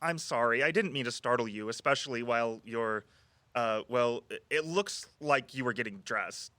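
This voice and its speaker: A man's voice: medium pitch, normal speed, pushed and bright, no echo, lightly acted. An actor playing an affable, flustered male tour guide in an audio drama, chatty and hesitant. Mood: flustered, apologetic. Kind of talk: scripted audio drama dialogue.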